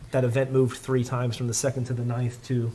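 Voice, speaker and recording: A man chairing a public meeting as mayor, giving informal, somewhat halting remarks. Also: medium pitch, monotone, dark tone. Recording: faint noise and clicks.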